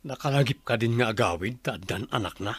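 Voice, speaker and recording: A man's voice: mid-range voice, lively intonation, fairly quick, husky, dry recording. A grown son in a radio drama. He speaks in a medium, dry-recorded voice with an Ilocano accent, subdued, worried and questioning.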